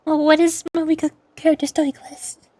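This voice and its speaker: A woman's voice: sits high, level tone, normal speed, dark tone, no echo. A woman streamer giving casual, bemused game commentary, musing aloud and stretching words for emphasis. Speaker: VTuber streamer and amateur voice actor. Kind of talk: casual game commentary mixed with character voicing.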